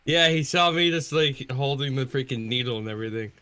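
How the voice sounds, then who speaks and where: A man's voice: mid-range voice, a little fast, bright, forceful tone, clean tone. A man chatting casually as a guest on a livestream, easygoing and self-deprecating. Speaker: guest on a development livestream, artist. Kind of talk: casual chat. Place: online voice call.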